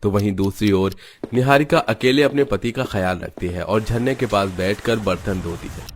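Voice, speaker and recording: A man's voice: lowish voice, normal speed, a little hiss or hum. A man reading scripted narration for a family story.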